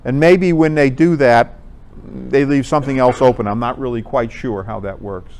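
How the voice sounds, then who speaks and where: Clear-voiced man giving an informal presentation. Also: medium pitch, normal speed, darkish tone. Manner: conversational, explanatory. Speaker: presenter at an amateur radio club talk. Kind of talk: informal slide presentation to a group.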